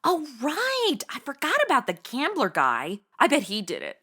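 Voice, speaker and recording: A woman's voice: high-pitched, huge pitch swings, moderate pace, pushed and bright, exaggerated voice. A woman playing a bubbly, tactless young amateur sleuth in a comedy audio drama, blurting things out in a gushing, flippant way. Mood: tactless, excited.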